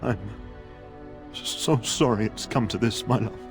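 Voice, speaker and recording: An actor playing a gravely wounded middle-aged noble father in a video game, halting and labored, loving and dutiful. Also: medium pitch, music in the background, natural voice. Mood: solemn, tender. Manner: halting, pained, labored delivery. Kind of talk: dramatic video game acting.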